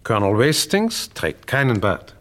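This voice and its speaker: An actor playing a shrewd, courteous and pompous detective in a radio crime drama, sprinkling French phrases into deliberate speech. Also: male voice, mid-range voice, sing-song, fairly bright tone. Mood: triumphant, measured. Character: shrewd, theatrical Francophone male detective, self-assured and courteous.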